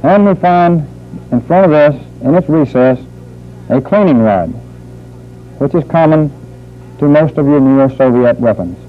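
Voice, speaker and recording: A man's voice: a little high, lively intonation, slight background noise. Tinny old recording of military instructor, male. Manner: formal, measured, deliberate phrasing. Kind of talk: instructional training film narration. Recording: muffled phone-like old training film soundtrack.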